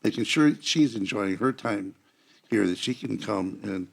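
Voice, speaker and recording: An American man with a husky, gravelly voice, talking casually in a group conversation. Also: lowish voice, animated, unhurried.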